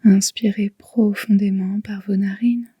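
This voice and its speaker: A woman guiding a yoga nidra relaxation in a soft, whispery, breathy voice, soothing and unhurried. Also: mid-range voice, level tone, no echo, studio quality. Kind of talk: slow guided relaxation meditation.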